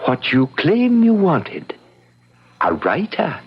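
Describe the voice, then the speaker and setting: An actor playing a mysterious, patient guardian with a deliberate manner in an old-time radio drama. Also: male voice, a little high, huge pitch swings, husky, exaggerated voice. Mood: mysterious, calm. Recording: dull vintage radio recording.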